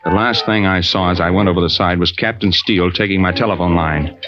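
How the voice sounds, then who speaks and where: An actor playing a determined, stubborn navy diver in an old-time radio drama, terse and urgent. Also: male voice, sits low, steady tone, fast talker, close to an everyday voice. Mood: sober narration. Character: determined, stubborn male navy deep-sea diver and narrator. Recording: dull, noisy vintage radio recording.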